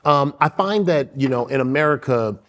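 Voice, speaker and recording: A man's voice: mid-range voice, lively intonation, moderate pace, a bit bright. A man hosting a casual interview, asking curious, earnest questions. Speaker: host.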